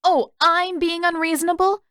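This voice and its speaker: A woman's voice: extremely high pitch, little pitch movement, normal speed, a bit bright, dry recording, clean studio sound, pitched above the performer's own voice. A woman voicing a stubborn, exasperated teenage girl with an indignant, emphatic delivery in a story reading.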